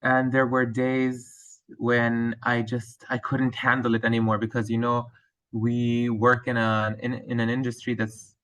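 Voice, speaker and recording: A man's voice: mid-range voice, fairly bright tone, poor audio. Hesitant Lebanese-accented male teacher. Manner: hesitant, many fillers and restarts. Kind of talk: answering interview questions.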